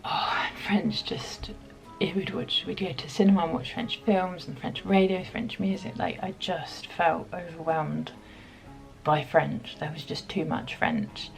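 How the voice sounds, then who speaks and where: Woman, 30s, from the UK, vlogger; low, gravelly, thoughtful and halting. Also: little pitch movement, faint music behind, rough recording. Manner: reflective, soft-spoken, many pauses and ums. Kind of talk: casual chat to camera.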